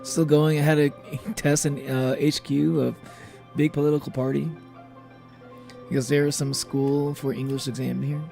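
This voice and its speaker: A man livestreaming a video game, chatting casually and haltingly with viewers, with informal, sweary speech. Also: a little high, flat delivery, vocal fry, warm tone. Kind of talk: casual chat with stream viewers. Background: game music.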